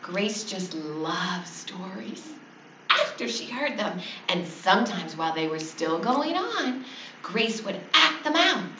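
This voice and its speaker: A woman's voice: mid-range voice, big pitch swings, slow. A woman reading a children's story aloud as a teacher would to her class. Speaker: fourth grade teacher. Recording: distant, echoey, dull, noisy recording.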